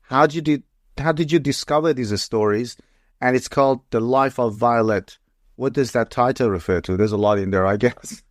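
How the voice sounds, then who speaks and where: A man's voice: medium pitch, moderate pace, no room sound, clean studio sound. Hesitant man. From Iran. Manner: friendly, hesitant, many restarts. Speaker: podcast host. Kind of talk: interview conversation.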